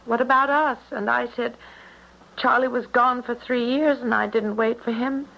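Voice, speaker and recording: A woman's voice: on the high side, steady tone, moderate pace, vocal fry. An actress playing an ailing, faithful young small-town woman, wistful and earnest, in a 1970s radio drama. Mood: troubled. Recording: dull, noisy 1970s radio recording.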